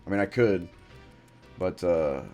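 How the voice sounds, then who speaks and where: A man with a deep voice giving laid-back let's play commentary, reading game text aloud. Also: unhurried, a little mellow, soft background music. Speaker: gaming channel host.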